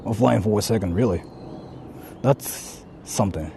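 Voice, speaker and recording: A man's voice: fairly low pitch, moderate pace, gravelly, warm tone, a little hiss or hum. A young man streaming live while exploring outdoors, talking casually and rambling in asides to his viewers. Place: outdoors exploring a rural area.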